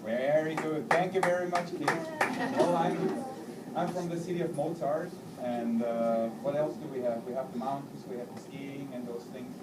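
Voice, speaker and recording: A man's voice: medium pitch, normal speed. A man, an airline pilot giving a playful talk to children and quizzing them. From Austria. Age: 40s. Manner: lively, teasing, quizzes the audience. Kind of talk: playful talk to a young audience. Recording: roomy, distant mic, loud crowd and noise.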